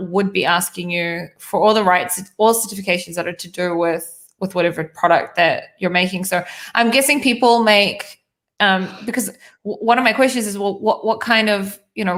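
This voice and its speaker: A woman's voice: medium pitch, fairly bright tone. A woman from New Zealand hosting a conversational interview, thinking aloud as she works through winding questions. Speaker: host.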